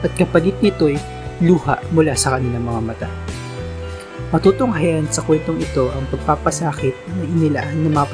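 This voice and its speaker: A man with a mid-range voice narrates a radio drama as its DJ, formal and measured. Guitar music plays clearly behind him. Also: a little high.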